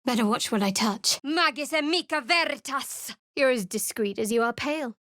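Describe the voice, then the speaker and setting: A woman's voice: on the high side, expressive, normal speed, fairly bright tone, dry recording. A woman voicing a guarded, sardonic young cleric adventurer in a video game, cool and wry, recorded on a close studio mic.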